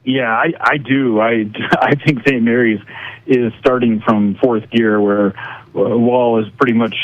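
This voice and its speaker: A man's voice: medium pitch, level tone, measured pace, no room sound. American male sports analyst, dry muffled audio. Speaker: high school sports broadcaster, guest analyst.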